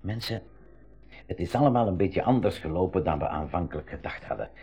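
Radio drama: older Flemish professor and expedition leader, theatrical and reassuring, husky, very animated delivery. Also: male voice, low-pitched, a little fast, heavily acted. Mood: reassuring, measured. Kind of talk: 1980s school radio drama acting.

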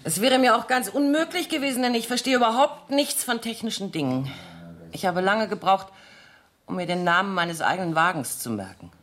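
An actress playing a worldly, coolly defiant courtesan accused of spying in a German radio play, poised and teasing with dry wit. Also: female voice, mid-range voice, expressive, fairly quick, fairly bright tone, lightly acted. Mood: cool, evasive.

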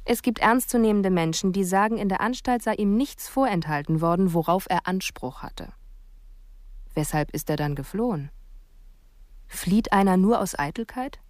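An actress playing a sceptical police investigator, narrating a reflective, questioning inner monologue in a radio drama. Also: female voice, medium pitch, animated, moderate pace, darkish tone, no room sound, clean studio sound, close to an everyday voice. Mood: brooding.

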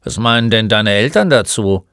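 A man playing a gruff, mocking old shopkeeper in a storybook, with a blunt, grumbling manner. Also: lowish voice, lively intonation, normal speed, a bit bright, no echo, studio quality. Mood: probing. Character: gruff, mocking old male bookshop keeper. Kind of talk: storybook character voice.

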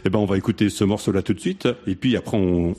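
Radio host guy in his 50s, lowish husky voice, rambles and hems a lot, jokey. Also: quick pace, dark tone. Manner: rambling, hesitant, joking. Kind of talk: casual radio chat.

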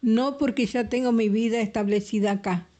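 A woman's voice: a little high, steady tone, moderate pace, warm tone. An older woman from Santiago del Estero, Argentina, giving her grandson short, plain answers.

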